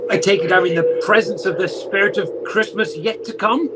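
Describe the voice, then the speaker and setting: A man plays an elderly miser in a radio play, humbled and fearful. He speaks formally and deliberately, with theatrical care. Clear background noise sits behind the voice. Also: sits high, natural voice. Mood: apprehensive. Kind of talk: amateur radio play acting. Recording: noisy amateur video call audio.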